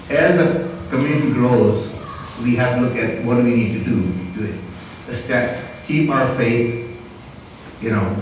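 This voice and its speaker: Older non-native man addressing a community, slow with fillers; far from mic in an echoey hall. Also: medium pitch, muffled recording, a little hiss or hum. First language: not English. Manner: slow, deliberate, frequent "you know" and "uh". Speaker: community speaker.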